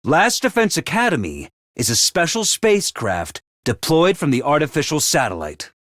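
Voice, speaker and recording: A man voicing an excitable, theatrical mascot commander of a military school in a video game, speaking emphatically. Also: on the high side, moderate pace, no room sound, clean studio sound. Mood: solemn explaining. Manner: theatrical, emphatic, swinging from grave to bombastic. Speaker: commander addressing students. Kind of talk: animated video game voice acting.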